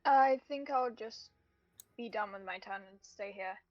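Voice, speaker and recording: A woman's voice: mid-range voice, unhurried, no room sound. A woman talking casually as a player in a tabletop game, through a dull, lo-fi mic. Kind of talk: casual gaming chat.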